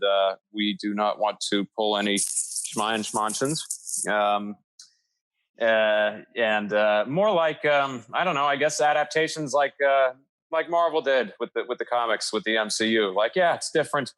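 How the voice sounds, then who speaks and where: A man's voice: mid-range voice, moderate pace, fairly bright tone, rough recording. A man answering casually as a podcast guest, in a hesitant, self-effacing manner. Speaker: podcast guest, audio drama creator.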